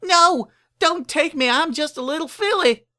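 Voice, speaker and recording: Storybook little filly, timid and pleading, loud and very high man acting her out. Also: expressive, measured pace, full character voice. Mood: terrified. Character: timid, easily frightened little yellow filly.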